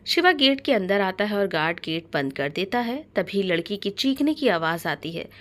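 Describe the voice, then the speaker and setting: A woman's voice: medium pitch, big pitch swings, normal speed, fairly bright tone. A woman storyteller reading a scripted dramatic story as narration.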